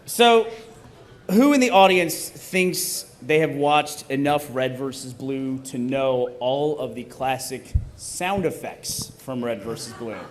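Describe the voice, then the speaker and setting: A man's voice: mid-range voice, slow. A man hosting a live stage event, bantering with the audience in a playful, teasing manner. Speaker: host of a live table read. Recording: live stage PA with clear background noise.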